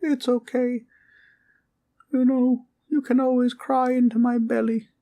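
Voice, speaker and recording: A woman playing a sweet, innocent talking teddy bear in a comfort roleplay, speaking in a gentle, quaint, reassuring way. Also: a little high, level tone, a little slow. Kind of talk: roleplay comfort audio acting.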